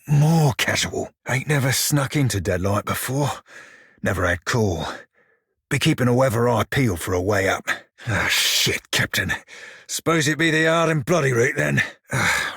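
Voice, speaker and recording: An actor voicing a wry, foul-mouthed pirate shiphunter in a video game, speaking a colloquial sailor's dialect with a gritty, husky voice. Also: male voice, medium pitch, a bit bright, no echo, studio quality, exaggerated voice. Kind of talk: video game character dialogue.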